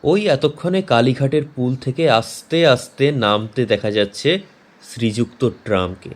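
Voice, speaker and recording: A man presenting and narrating a scripted horror story in a measured, suspenseful, dramatic manner. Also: medium pitch. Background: faint background music.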